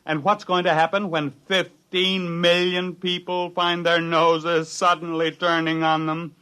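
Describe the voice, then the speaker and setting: Impatient scientist guy barking orders, sharp bright tone, a 50s radio drama. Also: a little high, flat delivery, unhurried, smooth. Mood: urgent. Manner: commanding, urgent. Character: male scientist narrator, driven and impatient. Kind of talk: 1950s radio drama acting.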